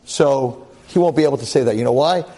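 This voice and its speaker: A man's voice: mid-range voice, fairly quick, warm tone, husky. A man giving a Torah lecture to a class, emphatic and dramatic, repeating phrases and asking rhetorical questions. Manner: public speaker.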